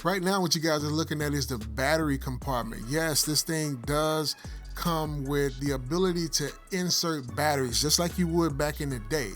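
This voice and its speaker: A man's voice: a little high, normal speed, a bit bright. A middle-aged man giving a casual product review, explaining things directly to viewers. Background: background music.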